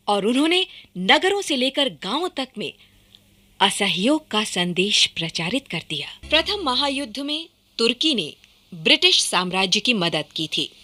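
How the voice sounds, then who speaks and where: A woman narrating a formal, scripted radio documentary in an earnest, clearly enunciated way. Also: on the high side, bright, forceful tone.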